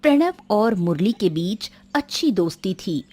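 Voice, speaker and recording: A woman's voice: mid-range voice, big pitch swings, unhurried, a little mellow, breathy edge. A woman narrator reading a scripted story in a storytelling style. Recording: music under the narration.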